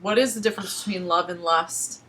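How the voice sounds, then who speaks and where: A woman's voice: fairly low pitch, brisk, a bit bright, low-quality recording. A woman chatting casually and candidly, in a matter-of-fact way. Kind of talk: casual frank conversation.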